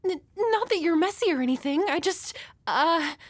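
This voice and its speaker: A woman's voice: very high-pitched, lively intonation, moderate pace, no echo. A woman playing a nervous, dorky young woman with a crush in an intimate roleplay audio, hesitant, stammering and apologetic. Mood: shy, flustered.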